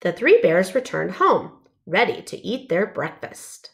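A woman's voice: medium pitch, big pitch swings, a little slow, vocal fry, fairly bright tone. A woman narrating a children's storybook, reading aloud carefully and expressively.

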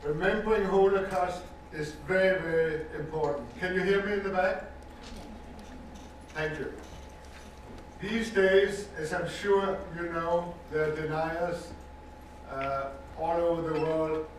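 An older man from Denmark with a Danish accent gives earnest, measured personal testimony as a survivor speaking to an audience, stressing points by repeating words. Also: a little high, fairly even intonation, slight background noise. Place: university lecture hall with audience. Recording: echoey hall recording from far off.

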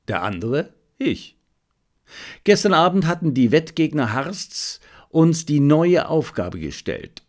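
A man reading a detective story aloud as scripted, dramatic audiobook narration. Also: mid-range voice.

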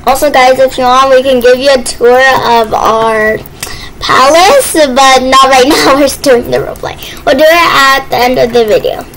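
High-pitched, excitable woman gaming. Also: moderate pace. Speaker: gaming video player and role-play partner. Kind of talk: casual gaming chat and improvised role-play. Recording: noisy game-play voice recording.

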